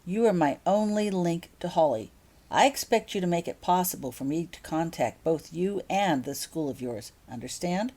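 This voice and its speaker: Woman reading a stern mother, animated delivery. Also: lowish voice, moderate pace. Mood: firm, demanding. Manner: firm, formal, demanding. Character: stern, formal, protective wife and mother.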